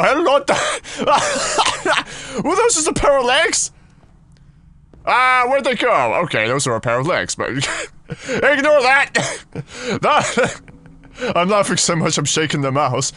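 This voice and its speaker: A man commentating on a horror game as he plays, jokey and excitable, his voice husky and deeper than usual from being sick. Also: dry recording. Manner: jokey, excitable, yelps and giggles. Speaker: gaming YouTuber.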